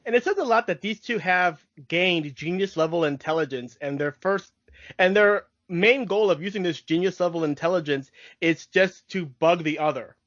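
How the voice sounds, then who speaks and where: A man with a higher-than-usual voice hosts a podcast in a casual, conversational way. The sound is dry, without room echo, and a bit muffled and lo-fi. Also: normal speed, a bit bright. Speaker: podcast host. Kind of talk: casual podcast chat and plot recap. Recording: dull lo-fi audio.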